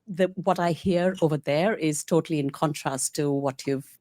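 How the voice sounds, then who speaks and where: Woman, Indian English accent, low pitch, hesitant and halting, dry recording. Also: a little mellow, dry recording. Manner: hesitant, many fillers. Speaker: audience member asking a question. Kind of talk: hesitant audience question.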